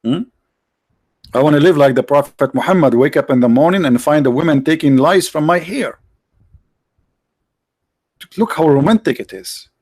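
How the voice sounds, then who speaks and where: A man's voice: on the high side, expressive, darkish tone, slightly rough, no room sound. Sarcastic man on a dry close mic. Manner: sarcastic, mocking, halting with many restarts. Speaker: livestream host. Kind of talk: casual livestream monologue.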